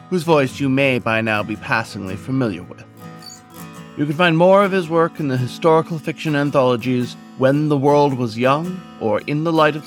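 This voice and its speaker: A man reading a scripted podcast introduction as its host. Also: medium pitch. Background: soft guitar music.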